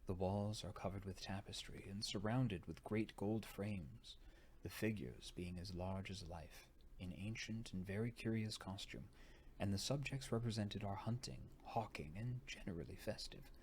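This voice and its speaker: A man reading a story aloud in a theatrical narration style, sometimes dropping to a hush. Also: on the low side, moderate pace, a little hiss or hum. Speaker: audiobook reader.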